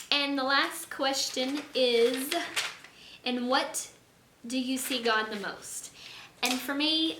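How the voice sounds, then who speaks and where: A young woman with a hoarse, fading voice, talking earnestly and rambling a little in a casual faith vlog. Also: on the high side, sharp tone. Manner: earnest, rambling, lots of fillers. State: hoarse, losing her voice. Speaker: vlogger. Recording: echoey room, faint noise.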